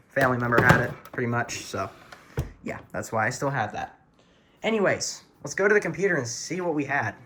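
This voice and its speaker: A man's voice: mid-range voice, bright, forceful tone. A man casually walks viewers through a hobby collection in an informal, matter-of-fact way. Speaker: hobbyist video presenter.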